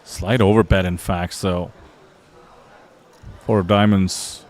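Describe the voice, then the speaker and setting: Low-voiced male poker commentator. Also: steady tone, slow pace. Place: commentary booth.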